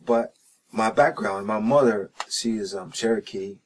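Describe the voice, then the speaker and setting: A man's voice: lowish voice, unhurried, a little hiss or hum. A man with a slight Jamaican-sounding lilt, answering interview questions in a recording studio and pronouncing his words carefully, with many "you know"s. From New Jersey, raised in North Carolina. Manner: careful enunciation, frequent "you know" and restarts. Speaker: reggae singer-songwriter, interview guest.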